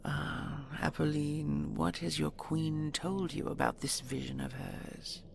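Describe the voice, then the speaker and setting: A regal dragon queen speaks in a low, heavily creaking growl. She is cold, possessive and imperious, drawing out each threat very slowly, as in a fantasy audio roleplay. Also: fairly even intonation, a little hiss or hum, full character voice. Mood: cool probing. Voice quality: gravelly, growling. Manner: imperious, slow, deliberate, threatening.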